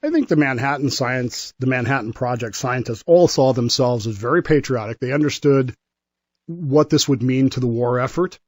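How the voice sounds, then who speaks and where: American guy on a radio discussion, medium pitch, dry close sound, explains stuff kinda haltingly. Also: darkish tone, no room sound. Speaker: history commentator on a radio show.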